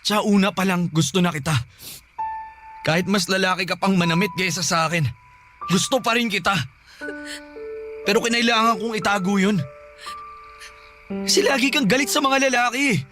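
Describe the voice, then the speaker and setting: Man acting a high-voiced, protective young man. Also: moderate pace, pauses often, close to an everyday voice. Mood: pained confession. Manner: earnest, insistent. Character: protective, frustrated young man secretly in love. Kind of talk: Tagalog radio drama acting. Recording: music bed behind the dialogue.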